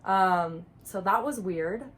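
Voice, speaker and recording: A woman's voice: medium pitch, slow, a bit bright, slight background noise. A woman from Argentina, Spanish as her first language, telling a short anecdote in a wry, matter-of-fact way. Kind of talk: personal account.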